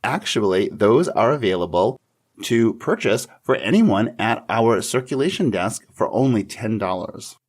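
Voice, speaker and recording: Husky, lowish-voiced male podcast host. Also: big pitch swings, measured pace. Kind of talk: friendly, scripted-sounding podcast hosting.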